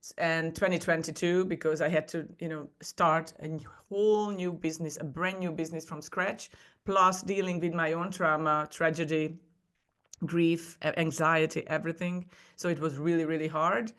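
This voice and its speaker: A woman's voice: sits low, fairly even intonation, normal speed, fairly bright tone. Woman podcast guest, non-native English speaker. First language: not English. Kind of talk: personal storytelling in a conversation.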